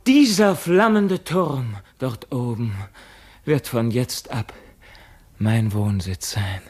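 An actor in a German radio play, playing a feverish, haunted dying king with slow, declamatory delivery. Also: medium pitch, lively intonation, darkish tone. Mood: agitated, commanding. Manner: slow, declamatory, swinging from cries to faint murmurs.

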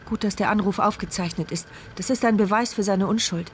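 A woman's voice: medium pitch, brisk, heavy background noise, natural voice. An actress playing an anguished, introspective woman who narrates her thoughts in short phrases in a radio play. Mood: anxious, alert. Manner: introspective, speaks in short present-tense thoughts. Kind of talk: dramatic first-person radio play monologue. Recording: studio radio play with background music.

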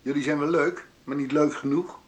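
A man voicing a big, gentle, guileless teenage boy in a radio drama, with a creaky voice. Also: on the high side, steady tone, moderate pace, noisy background, voice pushed up, natural voice. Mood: carefree, innocent. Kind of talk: quoted lines in a radio drama monologue.

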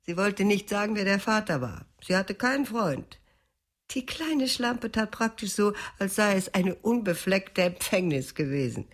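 A woman's voice: medium pitch, lively intonation, a little slow. An actress playing a cold, contemptuous older mother of a grown son in a radio drama. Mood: scornful. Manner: contemptuous, scornful. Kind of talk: German radio drama acting.

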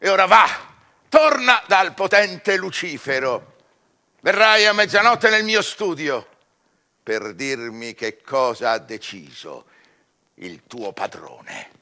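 Proud doomed scholar in a radio drama, big theatrical verse, gravelly and forceful, swells then drops. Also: male voice, a little high, sing-song, takes it slowly, exaggerated voice. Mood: imperious, solemn. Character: proud, grandiose scholar bargaining his soul with the devil.

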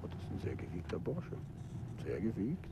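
An actor playing a composed, sharp-witted male detective in a 1950s radio play, with a dry, understated, teasing manner. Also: low-pitched, huge pitch swings, brisk, lo-fi sound, noisy background. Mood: knowing. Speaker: detective husband.

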